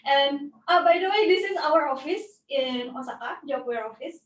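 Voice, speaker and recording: A woman's voice: sits high, expressive, normal speed. A woman hosting a conversational interview for an online webinar, recorded indoors. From Indonesia. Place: indoor office. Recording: roomy, distant mic, faint noise.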